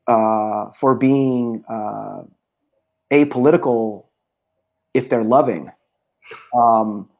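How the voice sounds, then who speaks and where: A man, a poet being interviewed, speaking thoughtfully over a video call with frequent pauses and filler sounds. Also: mid-range voice, a little mellow. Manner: thoughtful, hesitant with many pauses. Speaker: poet, guest. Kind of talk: casual conversation. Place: home room.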